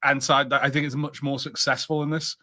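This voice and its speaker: A man's voice: mid-range voice, flat delivery, fairly quick, sharp tone. A man co-hosting a casual review podcast, musing conversationally and a little hesitantly.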